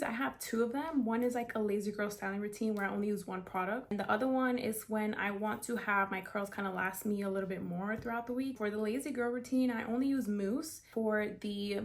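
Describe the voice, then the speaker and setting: A woman's voice: on the high side, fairly quick, few pauses. A woman in her twenties from South Florida, chatting casually through a hair tutorial with many "like" fillers. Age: 20s. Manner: chatty, upbeat, lots of "like" and "kinda". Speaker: beauty vlogger.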